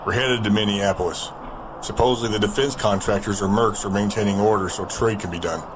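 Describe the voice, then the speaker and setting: An actor playing a male community leader on a supply run, speaking in a steady, businesslike way. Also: lowish voice, fairly quick, background noise, lightly acted. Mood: matter-of-fact. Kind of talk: audio drama acting.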